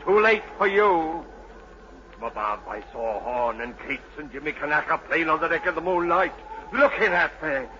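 A man's voice: fairly high pitch, expressive, normal speed, bright, forceful tone. An actor playing a mad, obsessed old sea captain and domineering father, in salty archaic seafarer's speech, in an old radio drama. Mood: grimly triumphant. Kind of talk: 1940s-50s radio drama acting. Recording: muffled old radio broadcast, faint noise.

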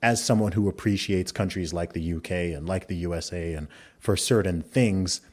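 Male podcast host, very low voice, measured and reflective, long-winded arguments. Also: darkish tone. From Saudi Arabia. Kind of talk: conversational interview.

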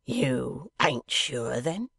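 A man's voice: medium pitch, big pitch swings, drawn-out words, pushed and bright, dry recording, clean studio sound, heavily acted. A man voicing a coarse, sly lock-keeper from a novel, growling with an insolent manner. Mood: sly, deliberate. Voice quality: growling, gruff. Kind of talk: dramatised novel reading.

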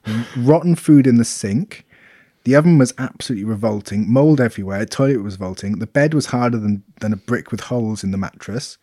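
Animated man on a dry mic. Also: mid-range voice, a little fast, no echo. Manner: animated, laughing, sweary. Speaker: podcast co-host. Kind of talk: casual chat, reading out reviews.

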